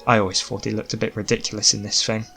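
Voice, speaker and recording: A man voicing a mourning young queen, gentle but firm and pleading, in an audiobook story. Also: fairly low pitch, level tone, quick pace, gravelly, soft background music. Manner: pleading, earnest.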